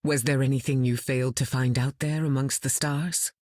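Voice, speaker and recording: Game role: a dignified heroine, very low and husky. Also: female voice, fairly even intonation, darkish tone, no room sound, clean studio sound. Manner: dignified, measured. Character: dignified weather-controlling heroine woman.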